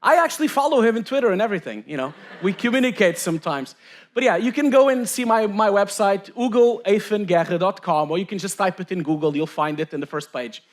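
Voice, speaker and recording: A man's voice: sits high, a little fast. A middle-aged man from Portugal giving a joking, chatty conference presentation to a live audience. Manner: self-mocking, chatty, many fillers.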